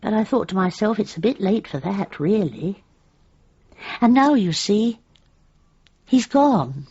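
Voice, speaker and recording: An actress playing a forlorn, newly bereaved widow in a comic radio drama, plaintive, hesitant and understated, with a husky voice. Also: female voice, medium pitch, expressive, a little slow, a little mellow, dull audio. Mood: mournful.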